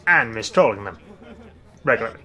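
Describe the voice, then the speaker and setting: A man's voice: lowish voice, animated, brisk, sharp tone, lo-fi sound. A man playing a dry, sarcastic teaser who enjoys trolling his friends in a comedy web drama. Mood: sardonic. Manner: dry, sarcastic, mock-formal. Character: sardonic trolling man who carries a stuffed monkey.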